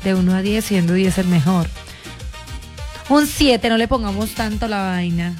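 Flirty paisa radio co-host. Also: female voice, medium pitch, moderate pace, darkish tone, faint music behind. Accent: Colombian, paisa. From Medellín, Colombia. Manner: flirty, giggly banter. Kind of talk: playful radio banter.

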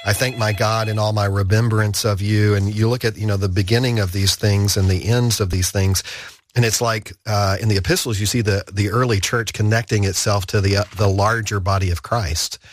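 A man's voice: lowish voice, steady tone, fairly quick. A man who works at a radio station, chatting casually and enthusiastically on air. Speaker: radio station staff co-presenter.

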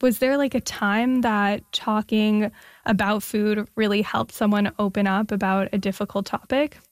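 A woman hosts an interview program, opening with a polished introduction before settling into relaxed questions. She speaks into a close, dry studio mic at a middle pitch. Her questions drift in a casual "like" now and then. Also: moderate pace, no echo. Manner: friendly, uses filler "like" in questions. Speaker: radio show host.

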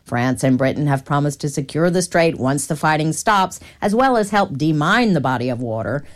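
A woman filing a calm, even radio news report as a foreign correspondent. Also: deep voice, moderate pace.

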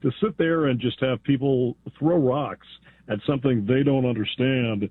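Earnest man calling in by phone. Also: medium pitch. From United States. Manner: earnest, halting, repeats words. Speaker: caller. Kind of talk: phone-in call. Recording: phone line.

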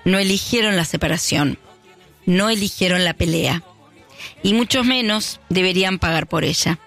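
An Argentine man speaks as a radio host, his voice set high and gravelly with fry. He delivers a reflective monologue in measured lines, pausing for emphasis. Soft music runs faintly beneath him on the broadcast.

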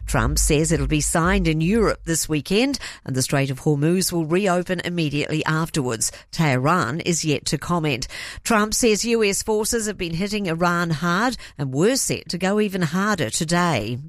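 A woman with a New Zealand accent reading a radio news bulletin in a measured, even way. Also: fairly low pitch, no room sound, clean studio sound. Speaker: newsreader.

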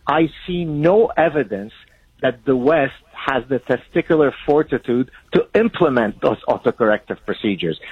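A man from Lebanon, Arabic his first language, argues his points with force and emphasis. His voice comes through a thin, tinny phone-like line. The sound is husky and very dry. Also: medium pitch, dry recording. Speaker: guest. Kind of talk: emphatic commentary.

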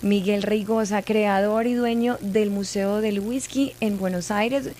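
A woman's voice: medium pitch, fairly even intonation, a little slow, warm tone, slight background noise. A woman hosting an interview, asking curious, direct questions. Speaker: radio or show host. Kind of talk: interview host.

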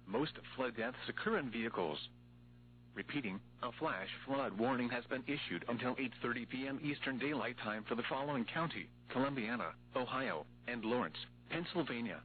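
A man's voice: mid-range voice, animated, moderate pace. Robotic man reading a weather alert, formal n impersonal, muffled radio with a bit of hum. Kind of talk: formal scripted emergency weather bulletin.

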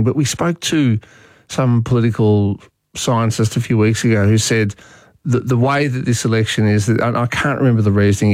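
A man's voice: medium pitch, no room sound. A middle-aged man hosting talkback radio, chatting and joking in a loose way, with plenty of restarts. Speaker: radio talk show host. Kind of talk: casual talkback radio chat. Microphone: studio microphone.

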